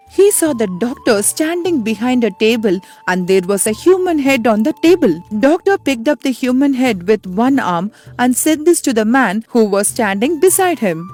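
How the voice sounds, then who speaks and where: A woman narrating a moral story in a plain, steady storytelling manner. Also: fairly high pitch.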